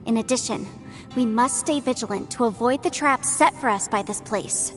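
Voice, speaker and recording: A woman voicing an earnest, practical young woman companion in a video game, speaking in a steady, purposeful way. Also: a little high, normal speed, soft background music, close to an everyday voice.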